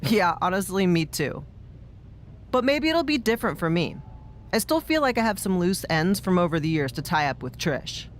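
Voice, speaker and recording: A woman's voice: on the low side, very animated, moderate pace, slight background noise. A woman playing an easygoing veteran pro wrestler in a video game cutscene, wry and candid as she talks. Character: easygoing veteran female wrestler seeking a grudge match.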